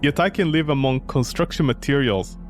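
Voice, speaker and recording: A man's voice: medium pitch, a little fast, soft background music. A man streaming a game, reading its text aloud and reacting with mocking, incredulous commentary.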